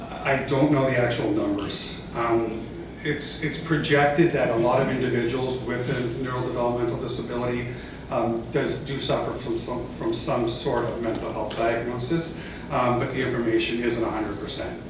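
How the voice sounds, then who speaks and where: Flat-voiced Canadian man presenting in an echoey hall. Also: mid-range voice, moderate pace, recorded from a distance. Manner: hesitant, many fillers and restarts. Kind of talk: conference presentation with audience Q&A. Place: large conference room. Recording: echoey hall, voice from off-mic, noisy.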